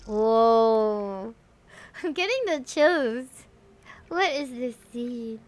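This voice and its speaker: A woman with a Filipino accent chatting casually and excitably on a livestream, often exclaiming as she reacts to a game. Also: a little high, extremely slow, dry recording, soft background music.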